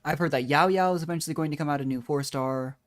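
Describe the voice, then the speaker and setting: A woman's voice: sits low, fast talker. A woman doing casual, rambling game stream commentary, musing aloud as she plays. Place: indoor livestream with game music behind.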